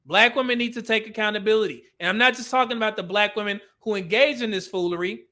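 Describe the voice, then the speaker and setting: A man's voice: high voice, quick pace, bright, forceful tone. A male commentary host ranting over clips, blunt and profane, with a preachy edge.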